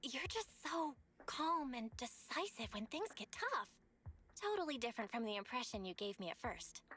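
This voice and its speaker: Friendly upbeat young game girl, high voice, really bouncy intonation. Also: very animated, normal speed, bright, forceful tone. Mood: warm, amazed. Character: friendly, upbeat, expressive young woman, easily amazed. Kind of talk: anime-style video game voice acting.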